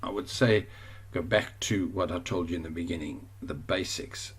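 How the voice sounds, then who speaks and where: A man's voice: deep voice, moderate pace, slightly rough. A man with a South African accent teaching an online course over a screen share, rambling and often correcting himself. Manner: rambling, self-correcting, chatty.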